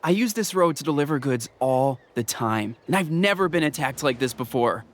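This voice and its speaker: A man's voice: mid-range voice, lively intonation, moderate pace, a little hiss or hum, lightly acted. A man playing a flustered, worried villager in a video game, with a fretful, hesitant delivery.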